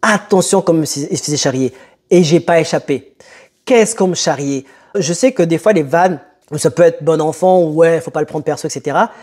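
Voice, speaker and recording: A young man who grew up in France telling a personal story to camera in a conversational, emphatic way with dramatic pauses. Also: a little high, a little mellow. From born in Italy, moved to France as a child. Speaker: motivational video host.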